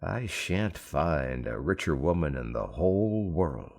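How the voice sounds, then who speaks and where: A man voicing a greedy, commanding king in a storybook, speaking in a stately, deliberate manner. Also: a bass voice, big pitch swings, creaky, a little mellow, heavily acted. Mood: commanding, calculating.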